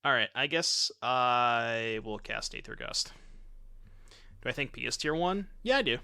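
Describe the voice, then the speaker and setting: A man's voice: mid-range voice, animated, a little slow, vocal fry, pushed and bright, clean tone. A man in his thirties streaming a card game from his home setup, chatting and joking with his chat while he plays, using a desk mic. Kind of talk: casual gameplay commentary reading chat. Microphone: desk mic with webcam.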